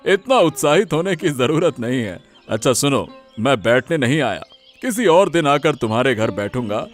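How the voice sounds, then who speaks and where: A man voicing a repentant middle-aged village headman in a cartoon, speaking in a deliberate, formal way. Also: medium pitch, very animated, faint music behind, lightly acted. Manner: deliberate, formal and addressing a crowd. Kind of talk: Hindi cartoon dubbing.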